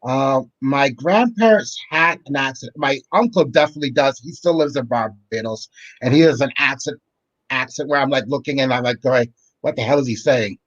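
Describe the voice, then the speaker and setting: A man's voice: mid-range voice, moderate pace, a bit bright, no room sound, dull audio. A man from the Boston area, a guest in a casual live chat, talking in a wry, joking way.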